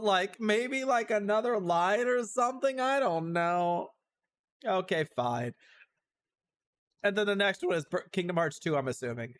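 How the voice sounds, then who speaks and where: A woman giving casual, playful and giggly livestream commentary as she reacts to video game recaps. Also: on the high side.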